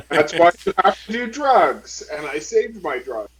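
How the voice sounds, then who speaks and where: Higher-voiced man playing a tabletop game. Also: big pitch swings, a little slow, a bit bright, rough recording. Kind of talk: casual game-table chat and role-play.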